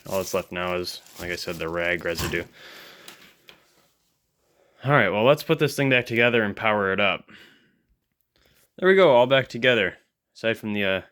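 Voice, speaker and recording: A man's voice: low-pitched, pushed and bright, a little hiss or hum. A man giving casual hands-on repair commentary in an offhand, dryly joking way. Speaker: electronics restoration video host.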